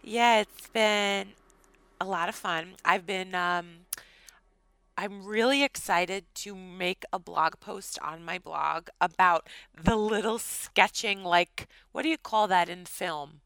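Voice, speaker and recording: A woman co-hosting a casual podcast chat, her voice slightly scratchy and tired, talking in a chatty, animated way. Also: medium pitch, smooth, no echo. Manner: chatty, animated, quick laughs. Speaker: podcast co-host.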